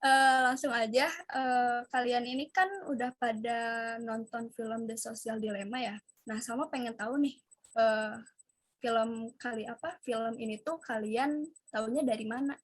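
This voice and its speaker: A woman's voice: fairly high pitch, steady tone, measured pace, no echo. Chatty young woman hosting, dry sound. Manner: chatty, friendly and curious. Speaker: host. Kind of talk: casual student interview.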